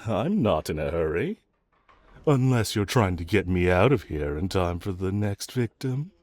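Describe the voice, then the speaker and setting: A man's voice: low-pitched, big pitch swings, unhurried, a little mellow, dry recording, exaggerated voice. A man playing a cocky, flirtatious, unrepentant villain in an audio roleplay, teasing and mocking the listener with sarcasm. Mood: sarcastic, dismissive. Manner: teasing, sarcastic drawl with chuckles.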